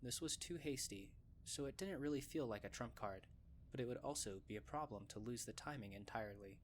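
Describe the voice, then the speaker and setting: Narrator for audio drama: man, medium-pitched, scripted storytelling, slight ambient noise behind him. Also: lively intonation, fairly quick.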